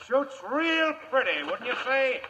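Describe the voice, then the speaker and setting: A jeering Old West outlaw with an American voice. He calls out very high and hard-edged, full of cocky menace. The vintage radio recording sounds dull. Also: sing-song, measured pace, bright, forceful tone, heavily acted. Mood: taunting. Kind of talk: 1950s radio drama acting, calling out loudly.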